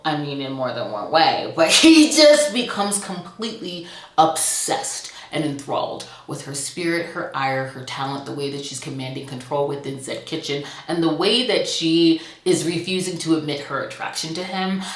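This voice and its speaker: A woman's voice: on the low side, fairly bright tone. A woman talking casually and enthusiastically as a book reviewer, gushing and playful with dramatic emphasis on her words. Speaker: book reviewer and channel host. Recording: roomy, echoey indoor sound.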